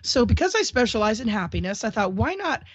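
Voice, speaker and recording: A woman hosting a solo talk show, speaking in an upbeat, chatty, encouraging way while getting over a cold and clearing her throat now and then. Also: fairly high pitch, very animated, a little fast, dry recording. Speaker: host. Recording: dull dry lo-fi audio.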